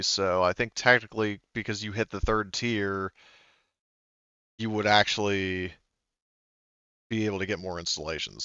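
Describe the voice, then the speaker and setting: A man's voice: fairly low pitch, a bit bright, smooth, dry recording, dull audio. A man running a tabletop game session as game master, thinking aloud and stopping often to check the rules. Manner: hesitant, thinks aloud with many fillers.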